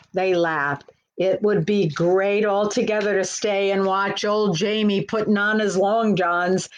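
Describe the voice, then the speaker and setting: A woman narrates a children's picture book at a very slow, patient pace. Her pitch sits in the middle range, and she pauses to point out pictures as a librarian would at storytime. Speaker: children's librarian reading aloud.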